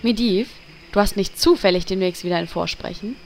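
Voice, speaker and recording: A woman's voice: mid-range voice, a little fast, over music, close to an everyday voice. A woman playing a blunt, sarcastic, no-nonsense adventurer in a comedy audio drama.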